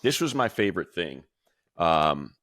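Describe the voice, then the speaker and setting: A male radio play-by-play announcer in casual sports talk, animated and talking in quick bursts with restarts. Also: low-pitched, dry recording, studio quality. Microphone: video call.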